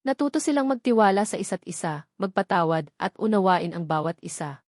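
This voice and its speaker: A woman's voice: mid-range voice, expressive, fairly quick, a little mellow, no echo. Woman narrating in a dry studio sound. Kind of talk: scripted romance story narration.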